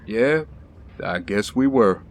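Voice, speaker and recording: A man playing a steady, loyal ex-soldier bodyguard in an audio drama, calm and measured. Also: fairly low pitch, a little hiss or hum. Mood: calm, frank.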